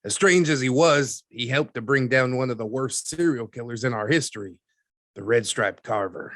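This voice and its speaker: A man playing a dry, skeptical newspaperman who explains things in a measured, wry interview style for an audio drama. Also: medium pitch, lively intonation, a bit bright, no room sound, natural voice. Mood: matter-of-fact. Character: dry, skeptical newspaperman recounting archived cases.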